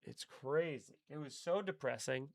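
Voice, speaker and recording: A man's voice: on the high side, moderate pace, creaky, dry recording. Profane rambling man, dry sound. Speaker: comedian hosting a live stream. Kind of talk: casual live-stream chat reading chat comments.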